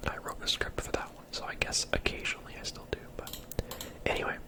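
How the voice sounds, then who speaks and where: Male ASMR creator, whispered throughout; rambling, casual, self-deprecating commentary. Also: quick pace. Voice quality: soft breathy whisper. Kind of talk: whispered ASMR commentary on own old videos.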